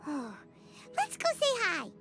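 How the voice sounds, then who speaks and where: A tiny female fairy companion from a video game, squeaky and childlike. The pitch is extremely high and leaps about wildly, while the words come slowly and exclaimed. Music plays behind. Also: wildly swooping pitch, full character voice. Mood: friendly. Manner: squeaky, exclamatory, refers to self in third person. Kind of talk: animated video game voice acting.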